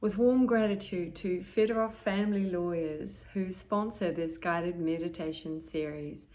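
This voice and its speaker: Woman doing guided meditation, lowish voice, really slow n calm, soft breathy at ends of phrases, lots of pauses. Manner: calm, gentle, measured, with long pauses between short phrases.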